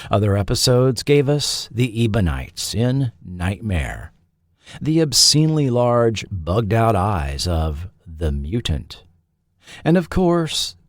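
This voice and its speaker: A man narrating a scripted history documentary as its host, with deliberate, dramatic phrasing. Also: medium pitch, a little mellow, no echo, clean studio sound.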